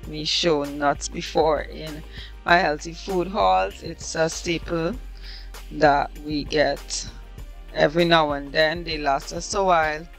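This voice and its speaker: A woman's voice: low voice, gravelly, fairly bright tone. A woman talking casually through a grocery haul, chatty, often ending lines with a questioning "right?". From Trinidad. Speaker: lifestyle vlogger.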